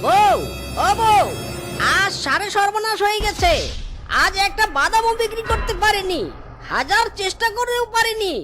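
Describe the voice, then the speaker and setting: A performer voicing a henpecked, folksy male fox peanut seller in a cartoon, pleading and placating, over loud music. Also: extremely high pitch, expressive, moderate pace. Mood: pleading, dismayed. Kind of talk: cartoon voice acting. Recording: loud background music throughout.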